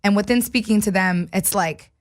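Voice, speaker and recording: A woman's voice: mid-range voice, normal speed. Woman hosting a chatty talk show, mid-range voice, casual and conversational.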